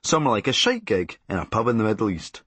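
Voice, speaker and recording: A man's voice: on the low side, very animated, fast, fairly bright tone, husky, no room sound. A Scottish man narrating a comic memoir in a sardonic, darkly comic and blunt manner. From Scotland. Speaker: comedian narrating a memoir audiobook.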